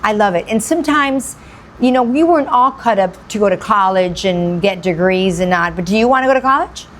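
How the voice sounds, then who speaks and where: A woman's voice: mid-range voice, animated, fairly quick, a little hiss or hum. A woman hosting a radio interview, gushing and repeating phrases for emphasis. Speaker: radio show host. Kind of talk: enthusiastic interview.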